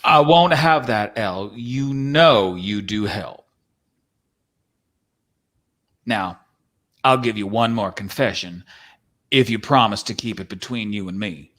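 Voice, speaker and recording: A man's voice: lowish voice, measured pace, fairly bright tone. A man playing a folksy, courtly, wistful cowboy from the Old West in a dramatic script reading. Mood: regretful, tender.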